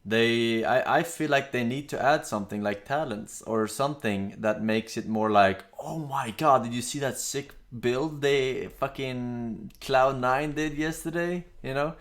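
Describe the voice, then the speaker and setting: A man's voice: mid-range voice, unhurried, hardly any pauses. A young man, streaming and chatting casually, rambling and reading out chat messages. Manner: relaxed, rambling, with fillers and some swearing.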